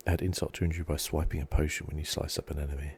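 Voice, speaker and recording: A soft-spoken man giving relaxed, rambling ASMR commentary as he plays a video game, thinking aloud. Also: rapid-fire, a little hiss or hum. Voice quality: soft-spoken, low-key.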